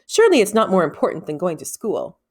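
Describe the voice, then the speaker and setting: A woman voicing a cross, bossy girl student with a brisk, challenging manner in a storybook. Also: fairly low pitch, wildly swooping pitch, slightly rough, full character voice. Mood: annoyed, insistent.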